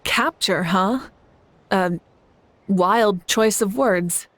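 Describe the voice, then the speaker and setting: A woman's voice: medium pitch, very slow, fairly bright tone. An actress playing a composed, confident young female leader in a video game, poised and wryly amused. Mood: wry amusement. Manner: poised, wry.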